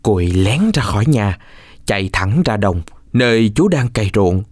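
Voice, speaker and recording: A man narrating a children's folk tale from a script in an expressive, measured storytelling manner. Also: fairly low pitch, dry recording, studio quality.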